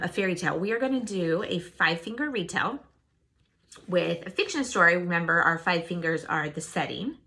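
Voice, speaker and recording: Expressive woman reading to young children. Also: fairly low pitch, slight background noise. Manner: expressive, asks listeners questions and answers them. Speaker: teacher. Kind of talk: children's storybook read-aloud and lesson.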